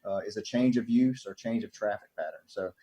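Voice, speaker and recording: A man giving an off-the-cuff technical explanation as a government official, measured and bureaucratic. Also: fairly low pitch, dark tone, lo-fi sound. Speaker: transportation department official.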